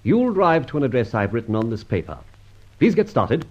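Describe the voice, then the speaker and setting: An actor playing a suave, flippant gentleman highwayman in an old radio adventure serial, urbane and mock-formal. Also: very animated, a little fast. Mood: commanding. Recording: dull old radio transcription recording.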